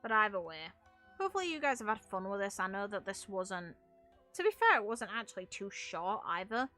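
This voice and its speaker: A woman's voice: medium pitch, big pitch swings, brisk, sharp tone. A woman giving casual, rambling gameplay commentary as the host of a gaming video. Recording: music playing behind the voice. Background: background music.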